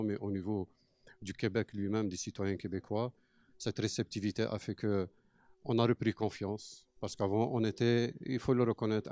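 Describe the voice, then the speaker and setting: Earnest, lowish man, dry sound. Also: warm tone, no room sound. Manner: earnest, mixes Arabic phrases into French. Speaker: cofounder of a cultural centre. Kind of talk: earnest testimony. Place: mosque.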